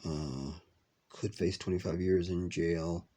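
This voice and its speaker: Low husky congested man rambling. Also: steady tone, mellow. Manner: rambling with many ums, drawn-out words. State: congested from a cold. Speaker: video reaction host. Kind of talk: casual live reaction vlog.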